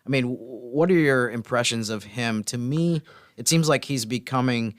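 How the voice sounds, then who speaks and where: Mid-pitched man hosting sports talk, dry sound. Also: normal speed, no echo. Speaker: podcast host. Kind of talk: casual sports podcast chat.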